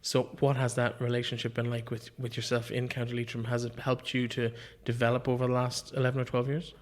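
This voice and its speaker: A man's voice: mid-range voice, completely flat delivery, brisk. An Irish man hosting a podcast, introducing and interviewing a guest in a conversational style.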